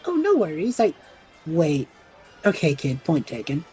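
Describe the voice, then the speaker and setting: Casting: woman as wisecracking extrovert male adventurer, lowish mellow voice, lively delivery, audio drama. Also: a little slow, faint music behind, heavily acted. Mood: wry. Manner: flippant, wry, theatrical. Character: impulsive, chatty extrovert young male traveller, showman.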